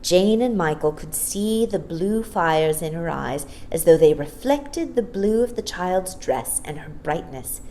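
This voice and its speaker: A woman narrating a children's story as a storytime reader, in an expressive, theatrical way. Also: fairly low pitch, unhurried, few pauses, slight background noise. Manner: animated, theatrical.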